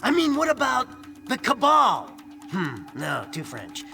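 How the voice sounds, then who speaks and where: A very high, piercingly bright young wisecracking hero. He is cheeky and sarcastic, bouncing around in pitch like a game character who never stops joking. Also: huge pitch swings, unhurried, creaky, faint music behind, full character voice. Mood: mocking. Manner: flippant, quippy, sarcastic. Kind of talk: video game cutscene acting.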